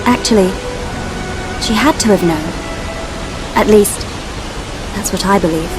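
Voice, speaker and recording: A woman's voice: medium pitch, measured pace, close to an everyday voice, rough recording. A woman playing a dignified, resolute young royal in a video game cutscene, formal and earnest. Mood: thoughtful. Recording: loud music behind the voice.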